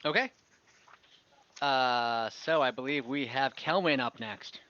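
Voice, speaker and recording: A man's voice: medium pitch, slow, bright, forceful tone, lo-fi sound. A man acting as game master, casually running an online tabletop role-playing game.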